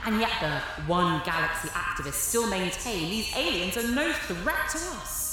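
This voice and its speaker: Slick tv interviewer in space drama, lilting, far off n super echoey, music behind. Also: female voice, mid-range voice, expressive, normal speed, pushed and bright. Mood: slick, probing. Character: slick, leading television interviewer of propaganda broadcast. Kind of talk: broadcast interview within audio drama. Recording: very echoey distant broadcast over music.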